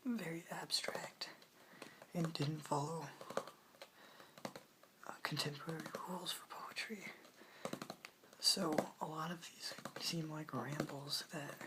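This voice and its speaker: Slow, low whispering woman. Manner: whispered, breathy, unhurried delivery. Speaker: ASMR host reading poetry aloud. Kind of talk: soft-spoken ASMR poetry reading. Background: hiss, clicks from tapping and page turning.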